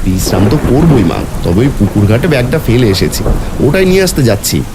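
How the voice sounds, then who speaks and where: A man voicing an anxious, devoted young son in an animated horror story, speaking in an insistent, pleading, excitable way. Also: mid-range voice, fast talker, heavy background noise, lightly acted. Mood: insistent, puzzled.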